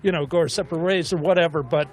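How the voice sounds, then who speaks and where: A man co-hosting a film discussion show, chatting casually in a rambling, animated way as he thinks aloud. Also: a little high, racing, faint music behind. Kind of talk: casual chat.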